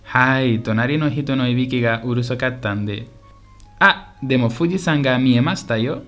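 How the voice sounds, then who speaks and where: A man playing a polite, eager tourist from Spain in a scripted language-lesson radio drama, speaking in simple, clear sentences. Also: mid-range voice, moderate pace, fairly bright tone, soft background music, close to an everyday voice. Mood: polite, friendly. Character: polite, eager Spanish tourist visiting Osaka.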